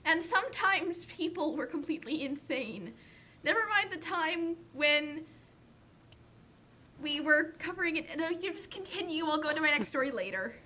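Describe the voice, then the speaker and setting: A woman's voice: high voice, lively intonation, moderate pace, bright, forceful tone, slight background noise. A young woman chatting casually as a co-commentator, telling rambling anecdotes in a wry, exaggerating way. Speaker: guest co-commentator.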